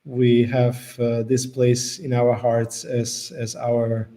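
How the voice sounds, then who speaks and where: Relaxed Austrian man, mellow voice. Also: mid-range voice, slow. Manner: relaxed, hesitant, restarts sentences. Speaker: co-host of a travel Q&A. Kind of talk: casual live chat.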